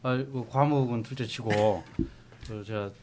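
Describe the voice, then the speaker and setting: A man's voice: medium pitch, steady tone, unhurried, background noise. A middle-aged man with a regional Korean dialect who speaks plainly as a regular podcast guest. He keeps his answers short. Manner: plain-spoken, brief answers, chuckles. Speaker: farmers' association chairman, podcast regular.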